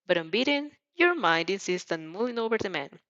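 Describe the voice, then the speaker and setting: A woman narrating fan fiction in the second person, expressive and dramatic, now and then stumbling and rereading a line. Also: medium pitch, brisk, no echo. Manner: expressive, stumbles over words and rereads lines. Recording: dull, muffled lo-fi sound.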